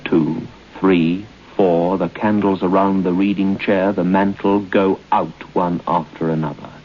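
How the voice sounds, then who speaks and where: An actor playing a grave, ominous narrator of a radio ghost story, speaking in a deliberate, suspenseful way. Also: male voice, sits low, little pitch movement. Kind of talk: old-time radio horror narration. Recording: muffled, noisy old radio broadcast.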